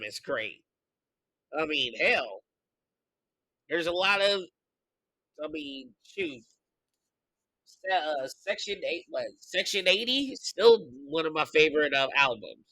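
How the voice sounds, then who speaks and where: A man hosting a podcast, congested from a recent illness, venting his opinions in a loose, profane, animated way. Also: fairly high pitch, slow pace, long pauses. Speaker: podcast host. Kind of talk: casual opinion commentary.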